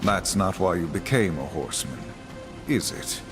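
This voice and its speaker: A man's voice: low voice, lively intonation, normal speed, over music. An actor playing a wrathful, duty-bound horseman of the apocalypse in a game, stern, solemn and terse. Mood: stern, threatening. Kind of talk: video game cutscene acting.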